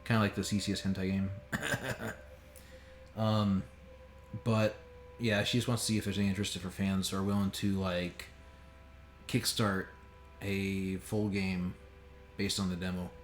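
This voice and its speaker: A man's voice: low voice, little pitch movement, measured pace, long pauses. A man giving casual, joking and sometimes profane commentary as a game streamer. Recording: roomy echo with faint background noise.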